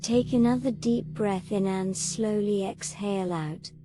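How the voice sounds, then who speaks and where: Female meditation guide, mid-pitched, gentle and measured scripted delivery, gentle music clearly behind. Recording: voice over clearly audible music. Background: gentle relaxation music.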